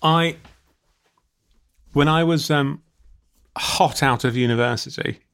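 A man's voice: fairly high pitch, slow, fairly bright tone, clear, resonant tone, faint music behind. A man co-hosting a comedy chat, telling stories in a rambling, self-mocking way. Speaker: podcast co-host. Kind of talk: comic storytelling chat.

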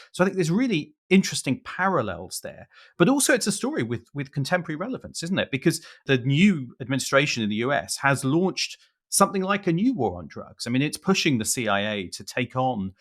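A British man co-hosting a podcast, talking in an emphatic, conversational way. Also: medium pitch. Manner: animated, emphatic, stretches key words. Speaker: podcast co-host.

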